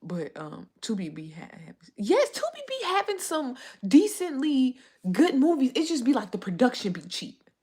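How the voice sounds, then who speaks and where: A woman's voice: high voice, huge pitch swings, moderate pace, warm tone, slightly rough. A woman hosting a casual livestream, chatting and answering viewer comments, a bit stuffy from a cold. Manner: chatty, rambling, reads viewer comments aloud. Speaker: livestream host.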